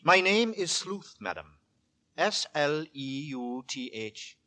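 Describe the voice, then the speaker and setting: An actor playing a weary, secretive, quietly menacing gentleman lodger in a formal, halting, repetitive manner, in 1940s radio horror style. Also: a little high, very animated, heavily acted. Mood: intense, distracted. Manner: formal, halting, repeats his words. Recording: vintage 1940s radio broadcast recording.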